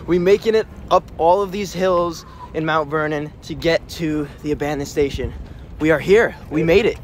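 A man's voice: fairly high pitch, normal speed, over music. A young man in his twenties chatting casually as a vlog host while walking outdoors, filming himself at arm's length. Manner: relaxed, offhand, chatty. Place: outdoors on windy city streets and subway platforms. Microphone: phone or camera held at arm's length.

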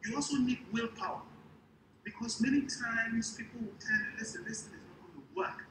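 A middle-aged man with a Nigerian accent speaking on a business panel in an emphatic, explanatory way, repeating key points deliberately. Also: very high-pitched. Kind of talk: panel talk to a business convention. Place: small indoor room, on a video call. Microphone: wired earphones on a video call. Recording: echoey room, noisy video call.

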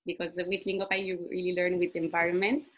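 A woman's voice: lowish voice, little pitch movement, fast. A woman whose first language is Italian, the community manager answering questions on a video call, laughing often between answers. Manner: laughs often, fluent non-native phrasing. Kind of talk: webinar Q&A answers.

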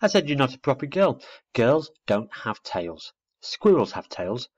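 A man's voice: mid-range voice, huge pitch swings, moderate pace, husky, muffled recording, pitched above the performer's own voice. A man voicing a naive, eager young male barn owl, easily huffy, for a children's storybook. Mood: cross, huffy.

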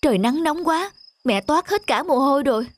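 A woman's voice: high voice, expressive, moderate pace. A woman voicing an earnest, devoted young son in a cartoon. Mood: worried. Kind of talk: cartoon dubbing.

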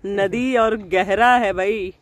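A woman's voice: mid-range voice, fairly even intonation, slow. A woman in her forties chatting casually through a travel vlog, switching between Hindi and English, filmed on a phone held at arm's length outdoors. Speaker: vlogger. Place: outdoors by a river and inside a car. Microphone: phone camera at arm's length.

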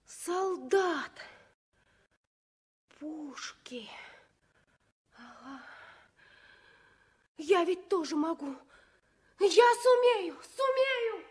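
Earnest, very high-voiced little girl. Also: exaggerated voice. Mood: grieving, resolute. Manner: pleading, slow and emotional. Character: earnest, anxious young girl searching for a friend. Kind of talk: 1960s Soviet radio play acting.

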